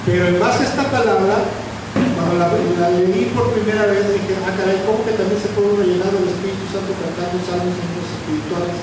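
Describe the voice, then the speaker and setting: A man's voice: high voice, flat delivery, a little fast, background noise. A man with a Mexican accent preaching a sermon in a church, talking in a loose, conversational way and often asking "verdad?". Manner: conversational, repeats words and asks "verdad?" often. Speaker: pastor. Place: church hall. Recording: echoey hall, from far off.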